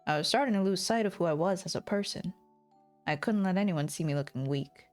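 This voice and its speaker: A woman voicing a weary, embittered, ambitious lord who narrates the story in a measured noir manner. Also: fairly low pitch, darkish tone, soft background music, lightly acted. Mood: brooding, guarded. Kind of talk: scripted dramatic narration.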